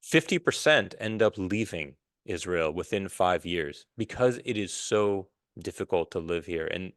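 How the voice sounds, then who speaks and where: A man with a low, smooth voice hosts a solo podcast, talking things through in a measured, reflective way. He pauses often and restarts his sentences. The sound is close and dry, with no room around it. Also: lively intonation, fairly bright tone, no echo. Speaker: podcast host.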